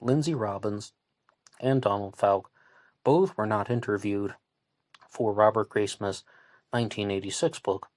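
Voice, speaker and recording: A man from West Virginia with a low voice and a light West Virginian accent talks through a true crime case in a loose, conversational way, often hedging and circling back mid-sentence. His voice is close and dry, with no room sound around it. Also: unhurried, long pauses, darkish tone, no room sound. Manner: conversational, thinking aloud with hedges and restarts. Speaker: podcast host.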